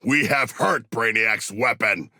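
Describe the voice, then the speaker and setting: A man voicing a formal, bloodthirsty yet childlike humanoid shark warrior for a video game, with gravelly, stilted, grandiose speech. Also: medium pitch, takes it slowly, bright, forceful tone, no room sound, clean studio sound, full character voice. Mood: composed, commanding.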